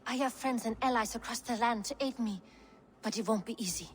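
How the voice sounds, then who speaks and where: Actress for a determined woman trader, dramatic game, resilient, slightly high register, soft music underneath. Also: a little fast, natural voice. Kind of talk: dramatic video game acting.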